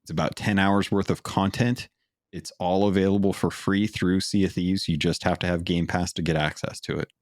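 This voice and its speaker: A man's voice: deep voice, steady tone, normal speed, dry recording, clean studio sound. A man co-hosting a gaming podcast, chatty and eager, with lots of fillers as he recommends games. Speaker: podcast co-host. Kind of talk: casual podcast chat.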